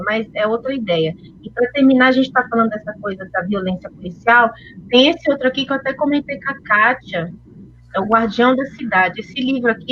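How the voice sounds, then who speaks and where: A woman's voice: on the high side, slight background noise. Earnest Brazilian woman on a dull recording. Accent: Brazilian Portuguese. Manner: earnest, emotional, recommends readings. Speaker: panelist. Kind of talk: discussion.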